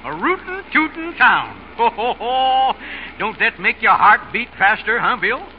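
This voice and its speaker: An actor playing a jovial, irreverent small-town husband with a Western American drawl, boisterous and folksy, in old radio comedy style. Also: male voice, very high-pitched, big pitch swings, measured pace, exaggerated voice. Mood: excited. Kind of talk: 1930s-40s radio comedy acting. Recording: muffled, hissy old radio broadcast.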